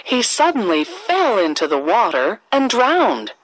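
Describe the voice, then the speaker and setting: Slow, very animated woman storyteller. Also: mid-range voice, noisy background. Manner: deliberate, expressive phrasing for young children. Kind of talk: scripted children's fairy tale narration.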